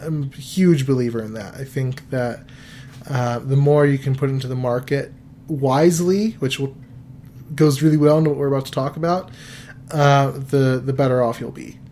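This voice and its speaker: A man in his thirties hosting a podcast, giving financial advice in a relaxed, rambling way full of fillers and restarts. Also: mid-range voice, moderate pace, a little hiss or hum. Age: 30s. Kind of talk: casual podcast chat.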